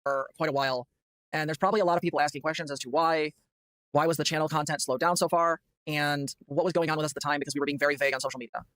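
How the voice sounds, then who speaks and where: A man talks very fast in a vlog clip, his voice slightly higher than most men's. He rambles and hedges, filling pauses with "uh" and "um". The sound is dry and close. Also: steady tone, fairly bright tone, no room sound. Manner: rambling, hedging, many "uh"s. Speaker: YouTuber in a played clip. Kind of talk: vlog explanation.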